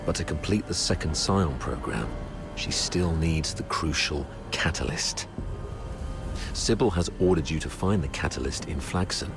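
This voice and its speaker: An actor playing a grave, composed military commander who bargains in a deliberate, measured way, in a dramatic game cutscene. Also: male voice, a bass voice, expressive, over music.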